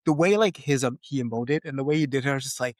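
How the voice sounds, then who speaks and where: A man's voice: mid-range voice, fast talker, no echo, clean studio sound. A man co-hosting a podcast, giving a casual film review and animatedly acting out bits as he goes. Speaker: podcast co-host.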